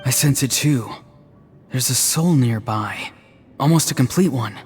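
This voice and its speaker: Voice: medium pitch, unhurried, a little mellow, big-room sound, soft background music, natural voice. An actor playing an easygoing, teasing young grandchild of a village elder in a video game. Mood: matter-of-fact.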